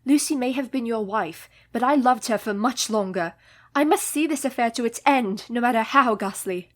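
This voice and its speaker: Casting: woman as resolute young Victorian lady, earnest and composed, slightly high voice, radio drama. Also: moderate pace, lightly acted. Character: poised, determined young married Victorian woman. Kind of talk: radio play acting.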